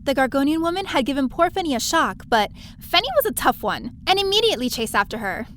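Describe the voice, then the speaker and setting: Casting: actress as wry, plucky female space adventurer, high-pitched, breezy first-person narration, faint background noise. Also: big pitch swings, fast, a bit bright. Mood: wry, adventurous. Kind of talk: first-person scripted narration, audio drama.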